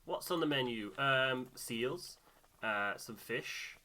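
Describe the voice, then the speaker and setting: A man's voice: mid-range voice, lively intonation, slow, pushed and bright, a little hiss or hum, low-quality recording. A man chatting casually as he plays through a game and comments on it. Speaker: gamer doing a voiced playthrough. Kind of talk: casual gameplay chat.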